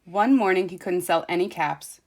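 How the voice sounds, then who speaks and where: A woman reading a children's storybook aloud like a teacher, expressively and deliberately, stressing repeated phrases. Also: lowish voice, a little mellow.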